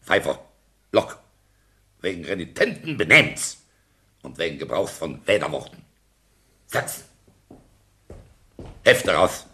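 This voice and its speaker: An actor playing a pompous, irascible professor in a radio comedy, pedantic and halting, blustering when challenged. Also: male voice, low-pitched, sing-song, bright, forceful tone, full character voice. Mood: stern, pedantic. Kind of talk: German radio comedy drama acting.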